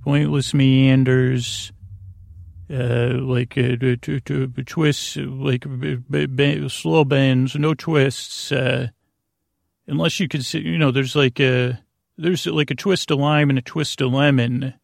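A man hosting a bedtime podcast in a creaky, lulling voice, rambling and stammering as he wanders through halting, self-interrupted sentences. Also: mid-range voice, steady tone, no room sound, clean studio sound. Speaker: podcast host.

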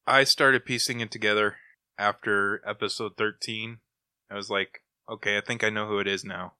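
A man's voice: on the low side, fairly even intonation, moderate pace, bright, forceful tone, clear, resonant tone, no echo. A man chatting casually as a podcast co-host. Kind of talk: casual chat.

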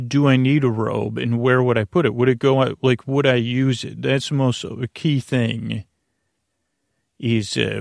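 A man rambling through a sleep podcast, drifting from one tangent to the next with plenty of fillers and restarts. His voice has a gravelly, fried edge. The sound is dry and close, with no room around it. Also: mid-range voice, no room sound. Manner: meandering, full of fillers and restarts, self-deprecating. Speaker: podcast host.

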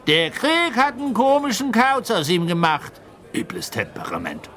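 Man playing a gossipy pub regular, wildly swooping pitch. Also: wildly swooping pitch, moderate pace, a bit bright, full character voice. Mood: suspicious gossip. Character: gossiping village pub regular, male, suspicious and judgmental. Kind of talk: amateur audio drama acting.

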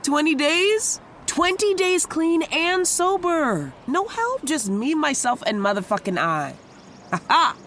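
A woman's voice: high voice, very animated, slow pace, fairly bright tone, a little hiss or hum, exaggerated voice. A woman in her twenties playing a cheerful, brash, newly sober young woman in an audio drama, profane and rambling. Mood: breezy, boastful. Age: 20s.

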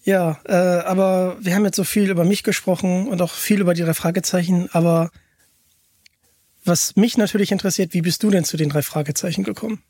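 A man hosting a podcast, chatting casually and enthusiastically, often halting and repeating words as he asks questions. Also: high-pitched, steady tone, a little mellow, no echo, clean studio sound. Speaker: podcast host. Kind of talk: casual chat, interviewing.

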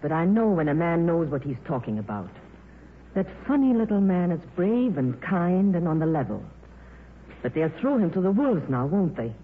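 An actress playing a frank, loyal, worldly film star in a 1950s radio drama. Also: female voice, fairly low pitch, sing-song, moderate pace. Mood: frank, concerned. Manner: plain-spoken, earnest. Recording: tinny, noisy 1950s radio broadcast.

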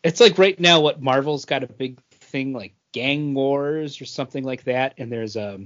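A man's voice: medium pitch, normal speed, no echo. A man co-hosting a podcast in casual chat, easygoing and steering into the next segment. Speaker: podcast co-host. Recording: dry, dull lo-fi podcast audio.

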